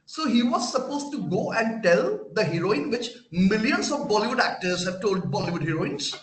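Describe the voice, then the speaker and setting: Indian English man, fairly high voice, lecturing a webinar with insistent emphasis, roomy echo. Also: big pitch swings, normal speed. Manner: emphatic, preachy, asks the audience rhetorical questions. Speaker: webinar guest speaker. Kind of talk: motivational lecture to students.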